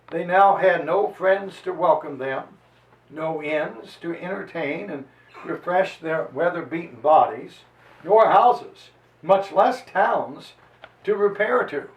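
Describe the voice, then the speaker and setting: A man giving a solemn, theatrical dramatized reading of a historical narrative, declaiming like a preacher with dramatic pauses and swells. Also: sits high, lo-fi sound. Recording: roomy echo, distant microphone.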